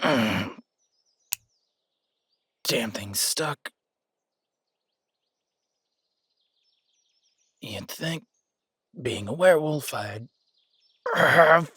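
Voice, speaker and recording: A man playing an affectionate, easygoing werewolf boyfriend who tinkers with bikes, speaking casually and haltingly in an intimate roleplay audio drama. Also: mid-range voice, animated, bright, forceful tone, slightly rough, no echo, studio quality, exaggerated voice. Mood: frustrated, straining.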